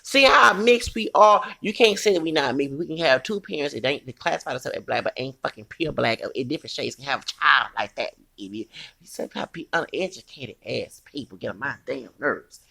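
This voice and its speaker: Man, forties, raspy gravelly voice, heated rambling rant, cursing. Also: medium pitch, lively intonation, fairly quick, pushed and bright. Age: 40s. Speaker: commentator.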